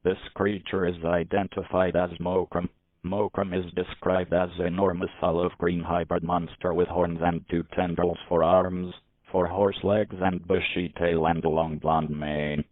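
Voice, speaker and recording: A man's voice: low-pitched, measured pace, no room sound. A man with a synthetic-sounding voice reading a formal, scripted emergency warning as an announcer. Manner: flat, formal. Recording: tinny phone-like broadcast audio.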